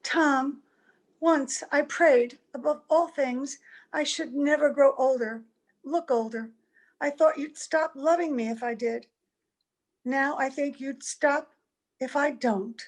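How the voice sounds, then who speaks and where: A woman's voice: high-pitched, slow pace. A woman playing an anxious, affectionate young-looking wife in an old-time radio play. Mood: anxious, pleading. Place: home, over a video call.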